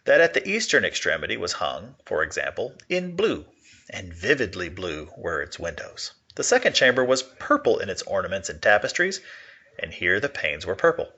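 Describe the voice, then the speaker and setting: A man's voice: low voice, huge pitch swings, normal speed, sharp tone, breathy edge. A man reading aloud expressively in the manner of a teacher giving a literature lesson, on a slightly dull recording.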